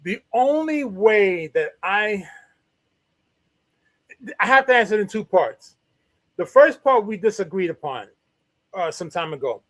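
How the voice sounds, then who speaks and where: Man, co-host, high voice, wry, measured, dry close recording. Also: big pitch swings, no echo. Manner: wry, measured, thinks aloud. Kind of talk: casual talk show discussion.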